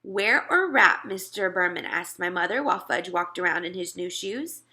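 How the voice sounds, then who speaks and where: A woman narrating a children's story as an expressive read-aloud. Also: on the low side, normal speed.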